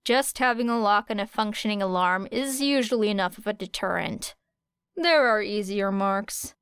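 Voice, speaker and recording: A cool, wry teenage girl from an audiobook story, read by a woman. She is deadpan and unflappable, with a clear voice in a dry recording. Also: medium pitch, normal speed, dry recording, close to an everyday voice. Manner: deadpan, matter-of-fact.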